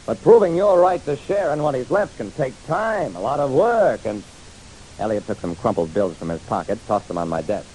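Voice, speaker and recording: A man's voice: medium pitch, sing-song, moderate pace, dark tone, natural voice, rough recording. An actor playing a hard-boiled private detective narrating his casebook in a wry, dramatic, punchy radio style. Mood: reflective. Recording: hissy old radio recording.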